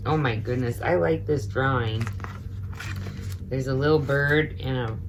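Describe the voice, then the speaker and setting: Low-voiced, unhurried woman reading a children's story. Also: noisy background. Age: middle-aged. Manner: expressive, chatty, motherly, adds commentary. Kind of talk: children's story time read-aloud with casual asides.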